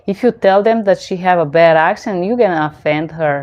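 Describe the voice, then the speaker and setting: A middle-aged woman talks from home in a casual question-and-answer monologue, speaking English with a clear non-native accent. Her voice sits fairly low for a woman. She is blunt and opinionated, and she drifts into rambling asides. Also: fairly quick, a little mellow, lo-fi sound. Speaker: interpreter and channel host.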